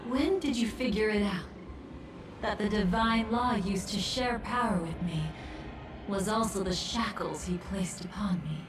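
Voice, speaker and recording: An actress playing a sly, obsessive video game villainess with a breathy, teasing and softly menacing delivery. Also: female voice, medium pitch, a little slow, close to an everyday voice. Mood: quietly curious. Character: taunting, obsessive, sly villainess, a memory manipulator. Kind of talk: theatrical video game voice acting. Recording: echoey, music underneath. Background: game music.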